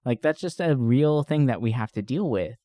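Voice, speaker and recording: Man, medium pitch, podcast host, casual slangy chat, dry recording. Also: animated, normal speed, warm tone, no room sound. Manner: informal, frequent "like" and "you know", occasional swearing. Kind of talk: casual podcast chat about movies and games.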